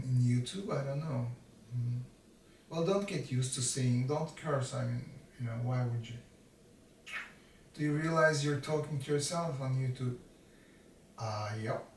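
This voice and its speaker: Slow self-mocking man in his fifties, set back from the mic in a roomy space. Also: mid-range voice, a little mellow, a little hiss or hum. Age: 50s. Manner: slow, self-deprecating, jokey asides to himself. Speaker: vlogger. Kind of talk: rambling self-mocking monologue. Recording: roomy, from a distance.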